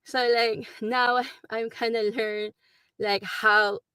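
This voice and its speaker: Filipino-accented woman, forties, halting, lots of fillers, dry close sound. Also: on the high side, level tone, sharp tone, clear, resonant tone, no room sound. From Philippines. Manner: hesitant, many ums and likes. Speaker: travel nurse. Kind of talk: recorded video message.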